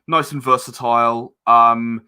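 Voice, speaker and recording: A man speaking as a guest in a casual podcast interview, halting and rambling as he explains. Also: mid-range voice, steady tone, bright, forceful tone, smooth. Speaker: interview guest, audio drama producer.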